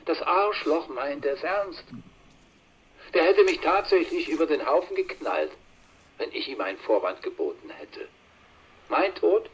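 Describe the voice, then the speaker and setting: A man's voice: a little high, slight background noise, poor audio. An actor playing a bitter, blunt former soldier telling his story in a German radio play, with coarse frankness.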